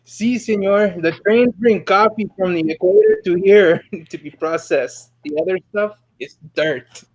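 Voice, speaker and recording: Man doing a high-voiced friendly coffee vendor. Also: big pitch swings, a little slow, exaggerated voice, rough recording. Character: small male Spanish-speaking coffee stand vendor, friendly.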